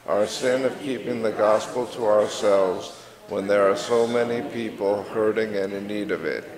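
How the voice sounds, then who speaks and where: Pastor preaching in a reverberant church. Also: male voice. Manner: earnest preaching, rising in pitch and force as he builds. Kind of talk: sermon. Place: church sanctuary, at a pulpit. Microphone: pulpit microphone.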